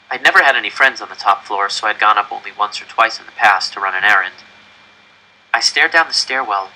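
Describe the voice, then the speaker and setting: A man reading a first-person story aloud in an expressive, dramatic storytelling style. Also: on the low side, a little hiss or hum, poor audio. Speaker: audiobook reader.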